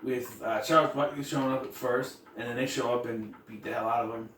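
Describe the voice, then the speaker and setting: A man with a bright, forward voice at a middle pitch talks through a review in a loose, unscripted way. He rambles casually from point to point, over faint background noise. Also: steady tone, brisk. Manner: loose, improvised, acting out quoted bits. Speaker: reviewer of an animated series. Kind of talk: casual rambling episode recap.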